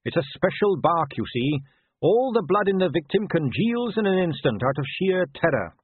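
Earnest young captain, read by a man, lifted pitch, calm and polite; tinny audio. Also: moderate pace, breathy edge, dry recording, exaggerated voice. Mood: matter-of-fact.

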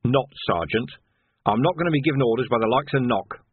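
Shrewd, assertive policeman played by a male reader, rough-edged husky voice, lively pitch, audiobook. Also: fairly quick, no echo, narrow, tinny audio. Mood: defiant. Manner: blunt, assertive. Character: gruff, defiant, shrewd policeman taking command. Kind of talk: audiobook character acting.

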